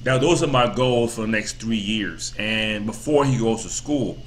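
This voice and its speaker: A man's voice: on the low side, moderate pace, slight background noise, rough recording. A man in his thirties giving a casual, rambling motivational talk as a vlogger, full of repeats and "you know" fillers. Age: 30s.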